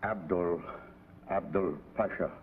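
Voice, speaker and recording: A man's voice: deep voice, fairly even intonation, slow. An actor playing a stern, bitter older father in a vintage television drama, forbidding and terse. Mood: reluctant. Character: stern, bitter old father of slain sons. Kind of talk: 1950s television drama acting. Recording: tinny, hissy vintage TV soundtrack.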